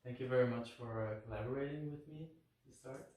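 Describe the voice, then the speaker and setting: A man's voice: mid-range voice, fast, warm tone, distant mic. A man hosting his own channel, introducing a guest and asking questions in an upbeat, slightly hesitant way. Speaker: channel host. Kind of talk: casual interview hosting. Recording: echoey room, voice a bit off-mic.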